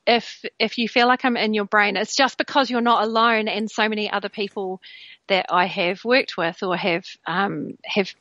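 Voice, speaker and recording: A woman's voice: mid-range voice, steady tone, normal speed, no room sound. Woman guest from New Zealand, candid coach, fillers; dry, muffled remote audio. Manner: warm, candid, lots of fillers and self-corrections. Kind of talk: conversational interview.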